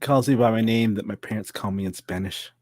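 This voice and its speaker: A woman's voice: a bass voice, fairly quick, no echo, clean studio sound. A woman co-hosting a casual web chat show, telling stories and acting out the voices of the people in them. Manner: animated storyteller, acts out quoted voices. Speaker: co-host.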